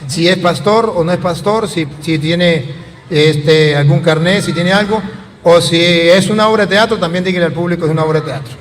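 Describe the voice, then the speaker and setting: A man's voice: on the high side, moderate pace. A man with a frank, plain-spoken way, informally moderating a religious debate before an audience. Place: large hall with an audience. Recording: noisy echoing hall.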